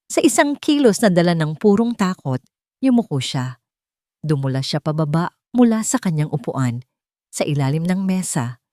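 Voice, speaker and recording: A woman narrates a dramatic romance audio story in a fairly low voice. She places short phrases deliberately to build suspense. The sound is dry and close, with little room around it. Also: very animated, warm tone, dry recording.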